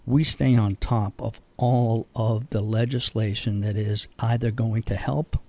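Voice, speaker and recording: A man's voice: lowish voice, fairly even intonation, measured pace, mellow, dry recording. An older man from Boston, a veterans organization leader, speaking earnestly as a guest in a radio interview, with fillers and restarts.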